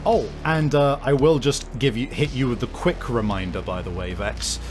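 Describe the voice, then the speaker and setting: A man's voice: medium pitch, normal speed. Casual man hosting over background music. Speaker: game master and show creator. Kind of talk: casual, unscripted podcast talk. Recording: music behind the voice.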